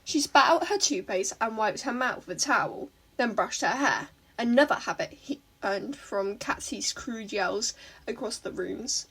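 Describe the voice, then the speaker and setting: A woman's voice: on the high side, breathy edge, poor audio. A woman reading fan fiction aloud as a scripted story narration.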